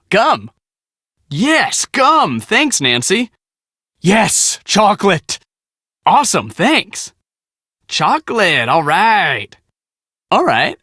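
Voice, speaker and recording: A man's voice: high voice, bright, forceful tone, breathy edge, no room sound, studio quality, exaggerated voice. An actor playing an easygoing young male storm-chasing photographer in a video game, breezy and boyish. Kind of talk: video game voice acting, casual.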